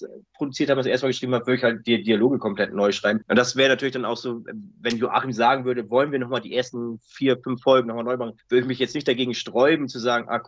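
A man's voice: mid-range voice, dry recording. A middle-aged man answering interview questions casually as an author, rambling and repeating his words. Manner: rambling, repeats words, often tags sentences with "ne". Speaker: interviewed audio drama author. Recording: muffled lo-fi audio.

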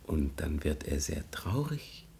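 An actor playing a solemn, earnest idealist in a radio play, grave, deliberate and quietly insistent. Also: male voice, extremely low pitch, sing-song, gravelly, a little hiss or hum, exaggerated voice. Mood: grave, coaxing.

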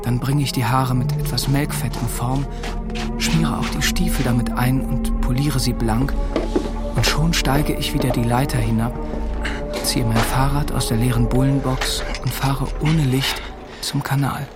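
An actor playing a shy, earnest seventeen-year-old farm milker in a German radio drama. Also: male voice, mid-range voice, steady tone, a little slow, over loud music, natural voice. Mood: calm narration. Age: young adult. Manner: hesitant, modest, earnest.

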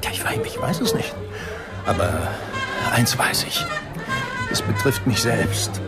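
Volatile, tormented alcoholic writer. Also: male voice, mid-range voice, wildly swooping pitch. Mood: restless, self-mocking. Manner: theatrical, halting, swinging between murmur and outburst. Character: tormented, self-mocking alcoholic male writer, volatile and rambling. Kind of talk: radio drama acting. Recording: music behind the voice.

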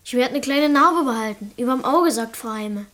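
A woman's voice: fairly high pitch, expressive, normal speed, a bit bright. A female-sounding performer playing a cheeky schoolboy and bossy older brother in a radio play, offhand and cocky. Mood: nonchalant.

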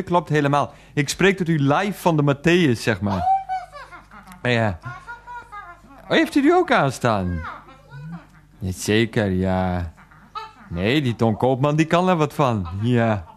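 A man playing a grown son in a radio play, talking on the phone to his mother in a halting, protesting, placating way. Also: medium pitch. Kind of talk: 1990s Dutch radio play, one-sided phone call.

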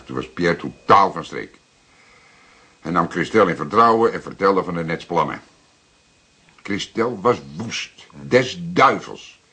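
An actor playing a seasoned older police detective in a Dutch radio drama, explaining things deliberately with dramatic pauses. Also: male voice, deep voice, bright, forceful tone, breathy edge, exaggerated voice. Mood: grave. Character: seasoned older male police detective, calm, plain-spoken storyteller.

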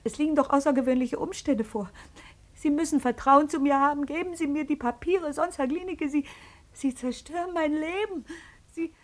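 A woman's voice: high-pitched, normal speed, natural voice. An actress playing a tenacious, desperate woman, insistent and pleading, in a radio drama. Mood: desperate begging. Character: desperate, tenacious, driven woman chasing a fortune. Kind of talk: German radio drama acting.

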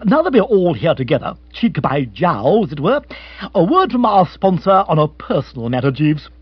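A man's voice: a little high, very animated, normal speed, husky, dry recording, highs cut off. A man voicing a blustering, hearty, tactless upper-class English husband in a storybook, jovial and pompous. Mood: hearty, nosy.

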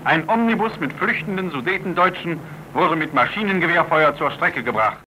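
A man's voice: fairly high pitch, animated, moderate pace, sharp tone. A man reading 1930s propaganda newsreel narration in a strident, declamatory manner. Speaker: newsreel announcer. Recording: slightly noisy 1930s newsreel archive.

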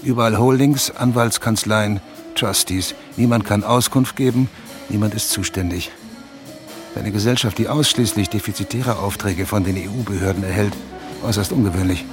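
A man's voice: lowish voice, normal speed, natural voice. A man playing an intense, insistent investigative journalist who is hungry for an exclusive, in a radio drama. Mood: emphatic briefing. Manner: urgent, insistent, emphatic explaining. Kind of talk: German radio drama dialogue. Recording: music behind the dialogue.

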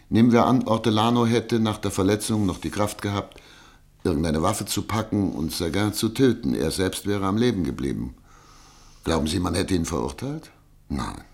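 A stern public prosecutor in a radio drama. His low voice swings widely as he argues, formal and emphatic, never yielding a point. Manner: rhetorical, emphatic, formal legal argument. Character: male public prosecutor, eloquent, unyielding, stern and argumentative. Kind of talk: German radio play acting.

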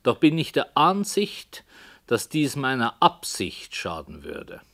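A deliberate writer, low voice, slow delivery, lively intonation. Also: male voice, exaggerated voice. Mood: measured. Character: deliberate male radio-play author.

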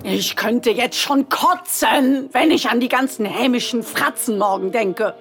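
Female party chief, exasperated and stern, fairly high voice, music underneath, satirical radio drama. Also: unhurried, fairly bright tone. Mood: disgusted, curt. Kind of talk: satirical radio play dialogue.

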